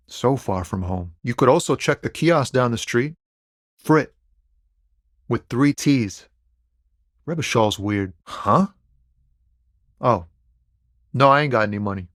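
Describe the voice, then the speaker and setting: Game role: male actor as easygoing trucker-poet, mid-pitched, wry and musing, slangy, close dry recording. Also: no echo, close to an everyday voice. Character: laid-back male lorry driver, wry amateur poet, stranded and philosophical. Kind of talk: video game character dialogue.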